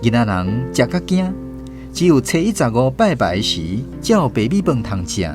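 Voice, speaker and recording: A man's voice: medium pitch, big pitch swings, normal speed, over music. A man with a Taiwanese accent narrating a scripted storybook radio drama.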